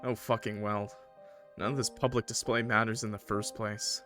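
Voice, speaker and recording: Guy streaming a visual novel, bright punchy voice, reads dramatically, jokes and swears, bit of music behind. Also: fairly low pitch, normal speed. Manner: animated, jokey, swearing reactions with outbursts. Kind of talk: gaming commentary with dramatic narration.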